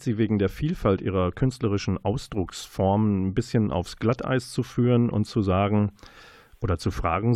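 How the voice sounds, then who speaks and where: A man hosts an interview with wordy, measured questions. His voice is lowish and very mellow. It is recorded close and dry. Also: no room sound. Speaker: radio interview host. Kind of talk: conversational interviewing.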